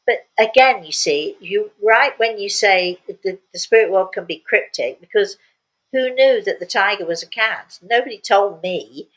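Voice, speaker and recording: Older female medium, mid-pitched, chatty storyteller who leans on key words by repeating them. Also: animated, a little slow, poor audio. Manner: emphatic, repeats words for emphasis. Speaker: spiritual medium telling stories and answering questions. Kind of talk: casual storytelling talk.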